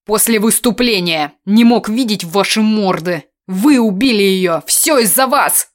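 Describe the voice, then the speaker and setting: A woman's voice: mid-range voice, expressive, a little slow, natural voice. Woman acting a drunk, raging, bullying man. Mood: enraged. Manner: barking, incoherent, coarse ranting. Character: drunk, aggressive, raving man, bullying and paranoid.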